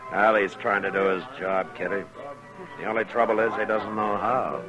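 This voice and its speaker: A man playing a calm, weary, steadfast frontier marshal with an American accent and measured, understated delivery in a 1950s radio western. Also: fairly low pitch, highs cut off, over music, lightly acted. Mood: weary, resolute. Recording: vintage 1950s radio recording.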